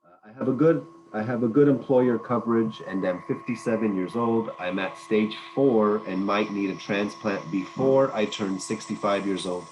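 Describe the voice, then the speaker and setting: A man moderating a webinar, quickly reading audience questions aloud over a video call. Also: on the low side, a little mellow, a little hiss or hum, rough recording.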